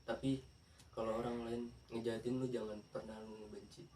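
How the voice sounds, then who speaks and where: A man speaking as a podcast guest in a casual chat, earnest and hesitant, pausing to search for his words. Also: medium pitch, little pitch movement, darkish tone. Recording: echoey room, distant mic, faint noise.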